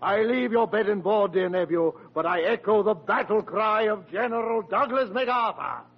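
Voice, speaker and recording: A shifty, pompous older uncle in a 1940s radio comedy. His high-pitched voice has a worn, unclear edge and rolls out every phrase theatrically. The sound is dull and lo-fi. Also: normal speed, full character voice. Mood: pompous farewell. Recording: muffled husky vintage 1940s radio recording.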